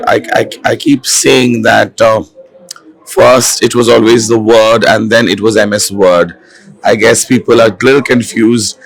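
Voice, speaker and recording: A hoarse man, an author and film director, speaking in a festival interview with many fillers and restarts. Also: lowish voice, low-quality recording. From India. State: hoarse, has lost his voice. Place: literature festival venue. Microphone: handheld mic.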